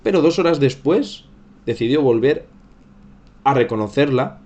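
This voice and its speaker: A man's voice: medium pitch, lively intonation, a little slow, darkish tone, dull audio. A man with an accent from Spain hosts a livestream, commenting casually on current affairs and often repeating and rephrasing himself. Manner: conversational, repeats and rephrases phrases. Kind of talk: casual live news commentary.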